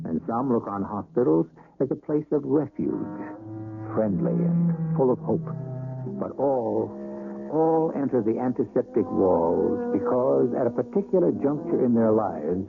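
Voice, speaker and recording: A man hosting a radio mystery series, narrating a script in a theatrical, deliberate way with rhetorical pauses. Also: mid-range voice, vocal fry, phone-quality sound. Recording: thin old radio sound, music underneath.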